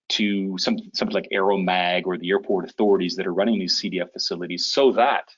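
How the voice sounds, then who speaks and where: A man hosting a business conference call, giving an informal briefing in a conversational, somewhat halting way. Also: deep voice, a bit bright, breathy edge, dull audio. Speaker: conference call host, association leader. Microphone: conference call connection.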